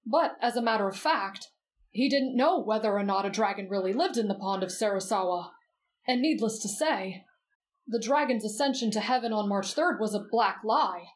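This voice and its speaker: A woman voicing a gentle, earnest, patient teenage boy in an anime bedtime-story roleplay. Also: mid-range voice, animated, normal speed, natural voice. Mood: patient storytelling.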